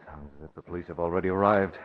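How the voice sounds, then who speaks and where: A man's voice: deep voice, fairly even intonation, rapid-fire, lightly acted. A man playing a suave, composed publisher with a secret vigilante identity, in 1940s radio acting. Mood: calm, urgent. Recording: tinny, narrow old radio broadcast.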